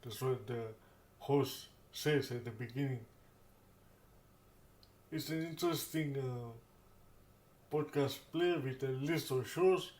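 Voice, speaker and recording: Man, demo host, average pitch, informal tutorial style, halting and repetitive phrasing, roomy sound. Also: darkish tone. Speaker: host of accessibility tech demonstration videos. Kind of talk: informal spoken tutorial walkthrough. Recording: roomy echo.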